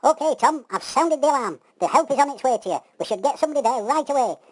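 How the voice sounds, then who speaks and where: A woman voicing a brisk, helpful male rescue-line operator answering the phone in a pantomime story. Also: high voice, animated, darkish tone, slightly rough, pitched above the performer's own voice. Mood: reassuring.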